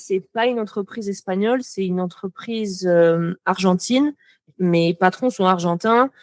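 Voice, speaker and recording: A woman's voice: mid-range voice, darkish tone, dry recording. A young French woman, a motion designer, chatting as a podcast guest, talking freely with lots of fillers and restarts. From France. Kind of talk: casual podcast conversation.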